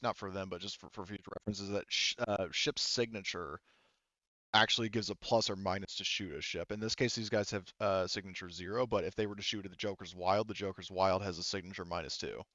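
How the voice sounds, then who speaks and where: A man acting as game master of a tabletop roleplaying game, explaining rules and often pausing to think aloud. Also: sits low, pushed and bright, no room sound, lo-fi sound.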